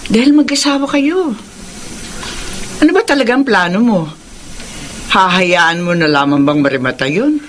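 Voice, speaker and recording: A woman's voice: medium pitch, expressive, normal speed, pauses often, a little hiss or hum, natural voice. A woman with a Filipino accent playing a concerned, admonishing older mother in a radio drama. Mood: admonishing, probing. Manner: probing, admonishing. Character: concerned, nagging older mother of a grown son.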